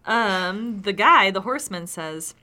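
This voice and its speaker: Animated, bright-voiced tipsy woman. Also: medium pitch, slow pace. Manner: sweary, sarcastic, giggly. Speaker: podcast co-host reading folktales. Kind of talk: casual comedic read-aloud with joking asides.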